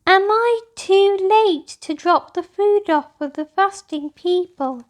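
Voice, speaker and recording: A woman's voice: very high voice, takes it slowly, voice pushed up. A woman voicing an eager, easily frustrated little girl bear in a children's storybook, exclaiming earnestly. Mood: flustered.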